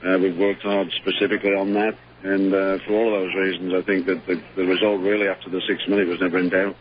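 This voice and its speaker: A man calling in as head coach, hoarse from losing his voice, speaking haltingly with many fillers in a post-match phone interview. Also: low voice, little pitch movement. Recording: dull phone line with faint hum.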